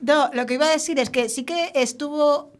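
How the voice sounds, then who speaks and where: A woman co-hosting a casual radio studio chat, lively and at times mock-stern. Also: high voice, normal speed, crowd noise. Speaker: radio show co-host.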